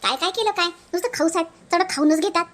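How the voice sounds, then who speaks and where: A woman's voice: very high-pitched, fast. A woman voicing a put-upon young village daughter-in-law in a cartoon, with a Varhadi Marathi accent and plaintive, grumbling delivery. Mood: scolding. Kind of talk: animated family comedy dialogue.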